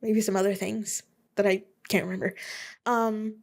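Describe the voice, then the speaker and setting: A woman's voice: medium pitch, level tone, moderate pace, vocal fry. A young woman from Alabama hosting her own podcast, rambling chattily with lots of fillers. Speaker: podcast host. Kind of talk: casual solo podcast monologue.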